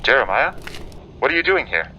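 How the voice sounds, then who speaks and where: A man's voice: mid-range voice, very animated, a little fast, natural voice. Man playing earnest, bewildered radio correspondent, muffled. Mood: confused, upset. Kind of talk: radio drama acting. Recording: dull, noisy audio.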